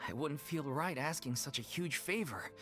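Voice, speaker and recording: A man's voice: a little high, animated, normal speed, sharp tone. An actor playing an earnest, apologetic male leader of an amateur theater troupe in a game cutscene. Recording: game audio with faint music.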